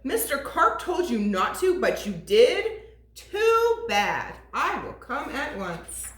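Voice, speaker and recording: A woman voicing a brisk, matter-of-fact male policeman. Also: high-pitched, big pitch swings, fairly bright tone, reverberant, exaggerated voice.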